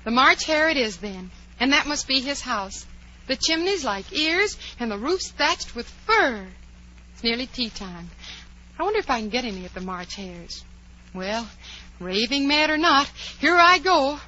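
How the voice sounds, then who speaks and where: High, lilting, prim little girl. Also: big pitch swings, measured pace, pushed and bright, full character voice. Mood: wondering. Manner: proper, precise, indignant. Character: curious, prim, polite but outspoken little girl. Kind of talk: 1940s radio drama acting. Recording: dull, noisy old radio recording, hum.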